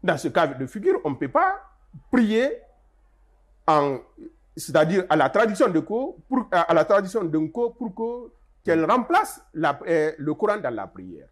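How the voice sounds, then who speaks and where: An older man answers interview questions with a husky voice and a slightly high pitch. His speech rises and falls a lot as he stresses key points, and he often restarts his sentences while explaining. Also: very animated, a little slow. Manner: emphatic, explanatory, with rising stresses and frequent restarts. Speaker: guest, Arabic translator.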